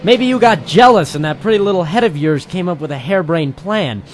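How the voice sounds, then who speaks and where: A man's voice: sits high, expressive, brisk. A man playing a weary, wry private detective, narrating and talking tersely in a noir radio drama. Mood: accusing. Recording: music playing behind the voice.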